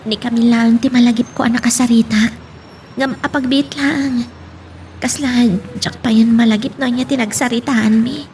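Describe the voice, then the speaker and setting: Woman acting a high-voiced grateful daughter. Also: steady tone, normal speed, darkish tone, slight background noise, lightly acted. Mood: questioning. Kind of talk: audio drama acting.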